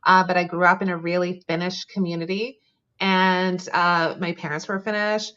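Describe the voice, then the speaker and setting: A woman's voice: lowish voice, little pitch movement, normal speed, fairly bright tone, lo-fi sound. A woman author answering interview questions over a remote call, thoughtful and chatty, with frequent ums and light laughs. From Canada. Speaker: author guest.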